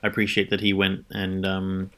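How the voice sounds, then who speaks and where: Halting man, lowish voice. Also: monotone, bright, forceful tone. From Norway. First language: Norwegian. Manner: halting, many fillers and restarts. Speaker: podcast co-host. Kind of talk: casual film chat.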